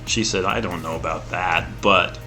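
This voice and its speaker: A man with a deep, clear voice chatting casually before a tabletop game, laughing easily as he tells stories. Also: steady tone, normal speed, bright, forceful tone, faint music behind. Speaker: player. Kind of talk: casual pre-game chat.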